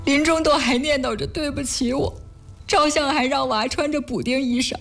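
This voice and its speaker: An actress playing a caring, soft-hearted wife devoted to charity in a sentimental audio drama, speaking earnestly in a slightly husky voice. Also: female voice, mid-range voice, animated, moderate pace, faint music behind, lightly acted. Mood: tearful.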